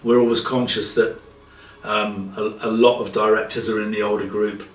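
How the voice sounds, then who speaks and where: Low-voiced man in roomy, distant recording. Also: little pitch movement, normal speed. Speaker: theatre group interviewee. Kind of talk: casual joint interview. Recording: roomy, distant mic, background noise.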